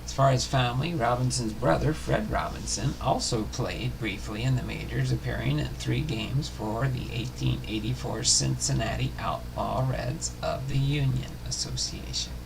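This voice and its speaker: A man hosting a baseball history series, reading biography notes aloud in a halting way with small stumbles and self-corrections. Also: mid-range voice. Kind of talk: scripted biography narration, read aloud. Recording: clearly noisy home recording.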